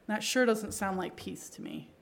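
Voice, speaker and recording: Middle-aged woman giving a sermon, chatty delivery, mid-range pitch. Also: wildly swooping pitch, moderate pace, gravelly. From Pakistan. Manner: conversational, rhetorical tags. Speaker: preacher.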